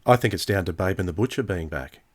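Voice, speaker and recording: A man's voice: low voice, expressive, fast talker. A man hosting a podcast, putting questions and remarks to a guest in casual chat. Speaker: podcast host, interviewer.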